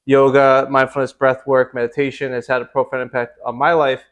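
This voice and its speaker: A man's voice: medium pitch, little pitch movement, a little fast, fairly bright tone. A man with a mid-range voice hosting a casual podcast. He speaks with enthusiasm, stringing ideas together into long run-on sentences. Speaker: podcast host. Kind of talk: casual interview talk.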